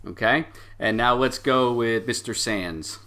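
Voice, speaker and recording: A man acting as game master, narrating a story game and reading card meanings aloud in a conversational way with hesitant pauses. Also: medium pitch, a crowd in the background. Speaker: game master of tabletop role-playing show.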